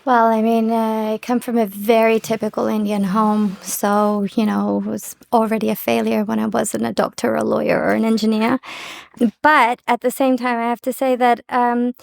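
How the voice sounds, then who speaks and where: A woman's voice: a little high, level tone, moderate pace, vocal fry, a little mellow. A woman from Thailand, deputy director of a human rights group, answering candidly and thoughtfully. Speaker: deputy executive director of an NGO. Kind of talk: interview answers.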